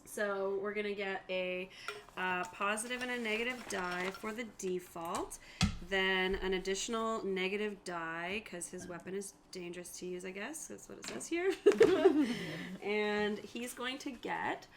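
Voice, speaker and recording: A woman's voice: mid-range voice, a little slow. A woman casually running a tabletop roleplaying game as game master, explaining rules and narrating the action. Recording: faint background noise.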